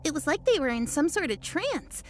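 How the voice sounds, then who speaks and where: A woman's voice: high-pitched, big pitch swings, normal speed. A woman voicing a chatty young village woman in a video game, gossiping about what she saw. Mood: recounting. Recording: game audio with music underneath.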